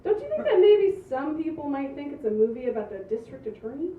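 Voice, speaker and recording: Exasperated young female producer , played by a woman at a table read: high-voiced, impatient, distant tinny sound. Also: big pitch swings, quick pace, reverberant. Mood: businesslike, doubtful. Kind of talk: amateur script table read.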